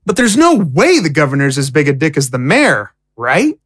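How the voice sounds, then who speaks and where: Woman playing young idealistic prisoner, casual n indignant, animated, close dry sound, audio drama. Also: deep voice, normal speed, no room sound. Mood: frustrated.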